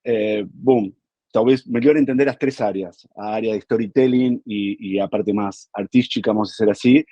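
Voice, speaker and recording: A man from Argentina with an Argentine accent, speaking as a panelist in a relaxed, explanatory way over a video call, with frequent filler hesitations. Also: on the low side, moderate pace, no room sound, muffled recording. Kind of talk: panel talk.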